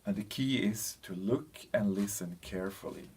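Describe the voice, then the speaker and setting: A man giving an explanatory training presentation, speaking in a hesitant, halting way as he searches for words. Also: on the low side, a little mellow, breathy edge. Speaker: presenter of a language training package. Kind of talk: explanatory presentation, loosely scripted.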